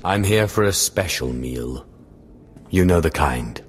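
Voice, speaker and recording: A haughty young Sith acolyte for a video game. His low voice is measured and full of cold disdain, with some background noise in the recording. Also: animated, heavily acted. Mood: probing. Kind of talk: video game dialogue acting. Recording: noisy game capture.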